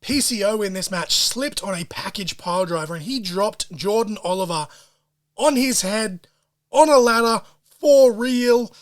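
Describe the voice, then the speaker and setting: Aussie guy doing a solo pod, fired up and cussing, kinda high voice. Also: a little slow. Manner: excitable and profane, wrestling-fan slang. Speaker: podcast host. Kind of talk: solo podcast recap of wrestling shows.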